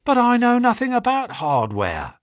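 A man's voice: on the high side, huge pitch swings, normal speed, pushed and bright, breathy edge, no echo, clean studio sound, voice pushed up, heavily acted. A man voicing a polite, earnest young stockbroker's clerk in an audiobook story. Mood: earnest, surprised. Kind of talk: audiobook character dialogue.

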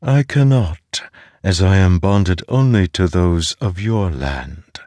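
A man voicing a mysterious, formal, helpful spirit voice in a fantasy audiobook, speaking slowly. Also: deep voice, lively intonation, mellow, no echo. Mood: calm, formal. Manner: slow, formal.

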